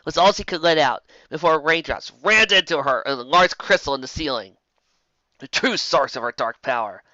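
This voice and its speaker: A man reading fantasy fiction aloud as an expressive amateur storyteller, now and then stumbling over a word. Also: fairly high pitch, moderate pace, no room sound, dull audio. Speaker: story narrator.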